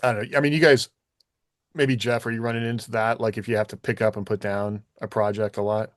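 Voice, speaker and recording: Low, dry-recorded man chatting casually. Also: flat delivery, a little fast, no room sound. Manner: loose, explanatory, peppered with "like" and "you know". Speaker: podcast co-host and writer. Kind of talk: casual conversational shop talk.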